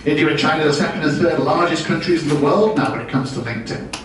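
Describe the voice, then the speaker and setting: A British man with a fairly high voice gives a business talk full of wry, self-deprecating jokes. He is picked up from a distance, so his voice rings through a heavily reverberant function room with faint noise underneath. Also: quick pace, fairly bright tone. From United Kingdom. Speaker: presenter. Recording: very echoey room, distant mic.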